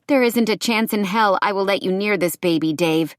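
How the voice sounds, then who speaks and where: A woman acting a defiant young mother, high-pitched. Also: normal speed, no echo, studio quality, close to an everyday voice. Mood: bitter, resolute. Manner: firm, defiant. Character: young mother standing firm against abuser, defiant.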